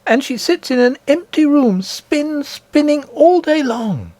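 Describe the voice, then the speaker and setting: Man putting on an eager, high-pitched little princess girl. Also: expressive, measured pace, mellow, no echo. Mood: eager, earnest. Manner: eager, insistent, childlike. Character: earnest, indignant little princess girl of about eight.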